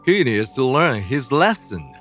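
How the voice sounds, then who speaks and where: Man playing an indignant middle-aged father, animated. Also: mid-range voice, measured pace, faint music behind. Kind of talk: dubbed manga drama acting.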